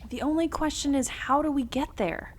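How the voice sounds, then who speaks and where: A woman's voice: high voice, moderate pace, a little hiss or hum, close to an everyday voice. A woman playing a curious, enthusiastic and slightly bossy adventurer in an audio drama. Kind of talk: scripted audio adventure acting.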